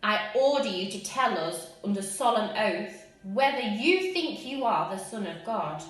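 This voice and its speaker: A woman voicing a stern, scheming male high priest who makes loud, commanding demands in a storybook reading. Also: lively intonation, measured pace, far from the mic. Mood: demanding. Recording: very echoey room.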